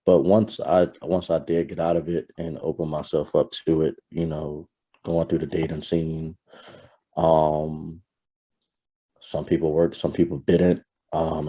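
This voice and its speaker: A man talking in a reflective, soft-spoken way as a guest in a casual conversation, pausing to search for words. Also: rumbling low, slightly rough.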